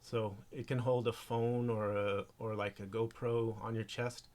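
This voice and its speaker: A man presenting an informal hands-on tech tutorial, speaking haltingly as he thinks aloud while handling gear. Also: lowish voice, little pitch movement, darkish tone.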